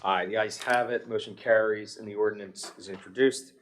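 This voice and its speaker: A man's voice: on the low side, fairly even intonation, fairly quick, fairly bright tone, slight background noise. An American man chairing a township meeting, formally reading out long ordinances and motions in a procedural way. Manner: procedural, reading long legal text aloud. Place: township public meeting room.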